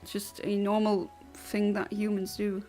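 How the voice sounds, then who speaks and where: Woman, gravelly fry, blunt and literal, casual streamer chat. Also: mid-range voice, completely flat delivery, unhurried, warm tone, faint music behind. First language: Luxembourgish. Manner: blunt, literal and chatty, with many restarts. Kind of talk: casual chat answering a livestream chat.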